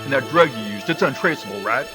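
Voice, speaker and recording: An actor as a callous male schemer in an audio drama, insistent and impatient. His voice swings widely in pitch over a clear bed of music. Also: lively intonation, fast, natural voice. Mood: coaxing, callous. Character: pushy, callous male schemer urging a killing.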